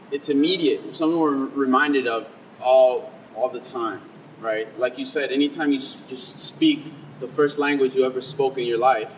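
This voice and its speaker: Guy interviewing an author, long rambly questions, sounds kinda far from the mic, faint rumble.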